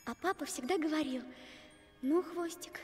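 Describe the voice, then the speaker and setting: Affectionate girlish goose for animated fairy tale, female actor; very high, coaxing voice with music behind. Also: normal speed, long reverb tail, natural voice. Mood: fond. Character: plucky, affectionate young princess turned goose. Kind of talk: animated film acting.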